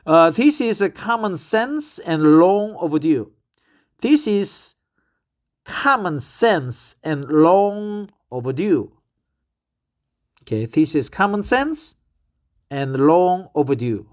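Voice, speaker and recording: A man's voice: high voice, sing-song, slow pace, long pauses. A man with a Korean accent, a native Korean speaker, reading news aloud to practice English, stopping to comment and repeating phrases over and over. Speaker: English learner.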